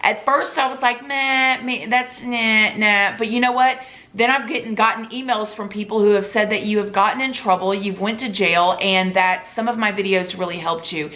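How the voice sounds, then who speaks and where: A young woman vlogging to camera, hoarse from losing her voice and chatty, pleading earnestly with her viewers. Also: mid-range voice, steady tone, moderate pace, hardly any pauses, pushed and bright. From Florida. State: losing her voice, sore throat. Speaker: YouTube vlogger. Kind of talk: casual chat to camera.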